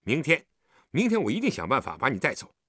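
一名男子在有声故事中扮演一个和善、护着孩子又不失坚定的成年男子，语气循循善诱。. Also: male voice, medium pitch, huge pitch swings, fast, fairly bright tone, husky, no room sound, clean studio sound, full character voice. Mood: caring, worried. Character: kind, protective grown man, teasing yet firm.

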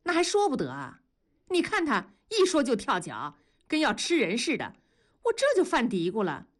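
A woman voicing an aggrieved, suspicious wife and mother who nags and is quick to accuse, in an audio drama. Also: a little high, wildly swooping pitch, pitched above the performer's own voice. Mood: huffy, suspicious.

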